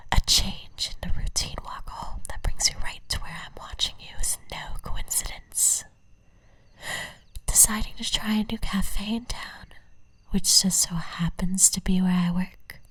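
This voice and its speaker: A possessive, lovesick stalker played by a woman murmurs in a heavily breathy whisper for an ASMR roleplay. She speaks slowly and deliberately, coaxing and intimate, with poetic devotion in her phrasing. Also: fairly low pitch, no echo. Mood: obsessive, yearning.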